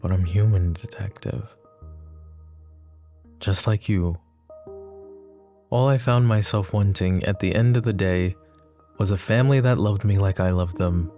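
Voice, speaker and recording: Man acting as a low-voiced, lovestruck mafia boss. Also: faint music behind. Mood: anguished, resigned. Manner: soft, emotive, halting delivery with stammers. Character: possessive, lovestruck young male mafia boss, tender and anguished. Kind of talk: intimate roleplay ASMR acting.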